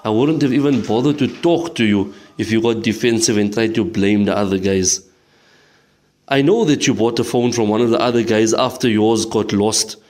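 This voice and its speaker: A man's voice: mid-range voice, a little mellow, close to an everyday voice. A man playing a stern madrasa sheikh. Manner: firm, admonishing, fatherly. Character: stern caring male madrasa sheikh teacher. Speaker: teacher. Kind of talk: audio drama acting.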